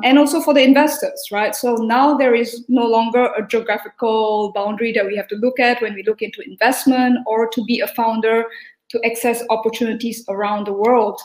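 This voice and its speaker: Woman from Singapore, earnest summit guest, slightly high voice, echoey room. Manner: earnest, thankful, many fillers. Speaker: panel guest speaker. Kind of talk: virtual summit remarks.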